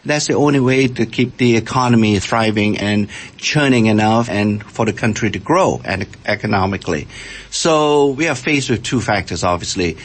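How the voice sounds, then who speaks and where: An older man from Malaysia, a scientist and interview guest, explaining his points with halting starts. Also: lowish voice. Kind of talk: radio interview answers.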